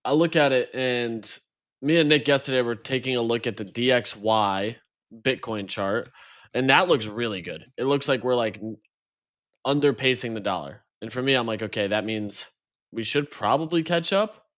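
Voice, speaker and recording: A man's voice: mid-range voice, moderate pace, fairly bright tone. A man co-hosting a casual podcast, easygoing but blunt in how he talks. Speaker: podcast co-host.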